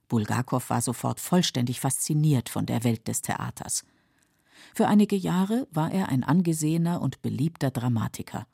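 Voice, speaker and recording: Woman narrator, low n a bit husky, measured factual documentary read, careful wording. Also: dark tone, dry recording, studio quality.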